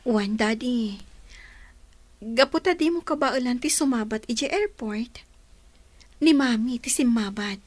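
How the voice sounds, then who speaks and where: An actress playing a caring, dutiful grown daughter in a radio drama, with an Ilocano accent. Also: female voice, fairly high pitch, animated, a little slow, long pauses, dry recording. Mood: gentle, resigned.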